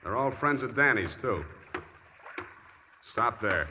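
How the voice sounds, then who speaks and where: A hard-boiled American detective in an old radio serial. His voice is bright and punchy, his delivery wry and sardonic. Faint noise sits on the 1940s recording. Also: lowish voice, animated, takes it slowly, exaggerated voice. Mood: tense, commanding.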